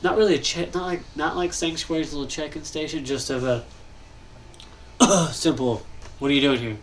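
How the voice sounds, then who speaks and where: A man's voice: fairly high pitch, fairly even intonation, moderate pace, a little hiss or hum. Congested young man musing over a build. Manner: rambling, thinking aloud, frequent coughs and throat clearing. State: congested, nasal. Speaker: gaming channel host. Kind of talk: casual commentary while building in a game.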